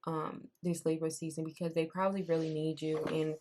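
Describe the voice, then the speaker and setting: A young woman giving a casual tarot reading. She talks in a loose, repetitive way, and her voice has gone raspy. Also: low-pitched, flat delivery, brisk, warm tone, a little hiss or hum. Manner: conversational, repeats herself, says "I'm seeing" often. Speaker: tarot reader.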